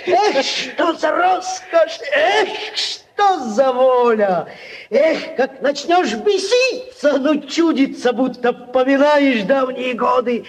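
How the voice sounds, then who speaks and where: An actor in a 1940s radio play, playing a carefree, rowdy Cossack reveller with exclamatory, theatrical delivery. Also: male voice, extremely high pitch, expressive, slow pace, full character voice. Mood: exultant.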